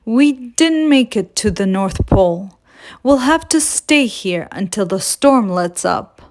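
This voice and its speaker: A kindly truck from a children's storybook , as a woman reader puts it on. It speaks slowly and gently, plainly explaining and reassuring. The pitch stays in an everyday middle range. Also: lively intonation. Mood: apologetic. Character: kindly adult yellow truck, apologetic, reassuring.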